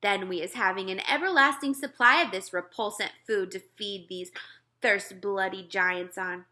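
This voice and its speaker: A woman's voice: mid-range voice, normal speed, pushed and bright, natural voice. A woman voicing a big, kindly, eager male giant in a children's storybook, with exclamatory, folksy mangled grammar. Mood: earnest, proud.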